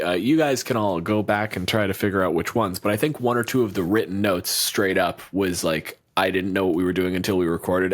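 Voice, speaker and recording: Low-voiced man rambling casually on a podcast. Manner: rambling, filler-heavy, swears casually. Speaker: podcast co-host and game master.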